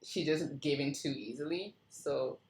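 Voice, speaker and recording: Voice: mid-range voice, unhurried. A writer leading a casual script feedback discussion, apologetic and inquisitive, keen to clarify things. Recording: roomy, echoing room sound.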